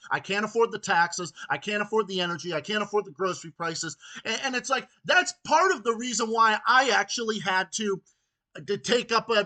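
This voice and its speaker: A man's voice: sits high, pushed and bright. A man in his late twenties talking politics in an emphatic, repetitive way, stammering over his words. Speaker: political ranting show host. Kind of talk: political phone conversation.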